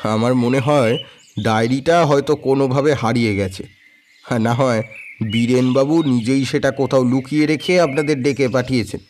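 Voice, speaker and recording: A man's voice: medium pitch, normal speed, darkish tone, slight background noise, lightly acted. A man playing a courteous but guarded tea-estate manager in an audio drama, speaking politely and hesitantly.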